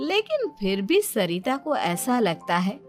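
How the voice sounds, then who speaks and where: A woman's voice: fairly high pitch, sing-song, slow pace, faint music behind. A woman reading scripted narration for a story in a storytelling style.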